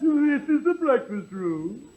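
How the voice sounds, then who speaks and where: An actor playing an oddball, needy real estate man with exaggerated, drawn-out delivery in an old radio comedy. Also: very high voice, big pitch swings, exaggerated voice. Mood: brisk, smug. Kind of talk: 1940s-50s radio comedy acting. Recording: noisy old radio recording.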